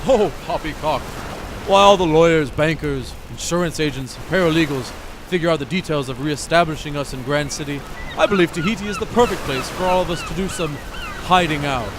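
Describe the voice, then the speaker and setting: A pompous, well-to-do husband acted by a man speaks in a highish, very animated voice. Surf-like noise runs clearly behind this old radio melodrama. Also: brisk. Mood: breezy, dismissive. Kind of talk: 1920s old-time radio drama acting.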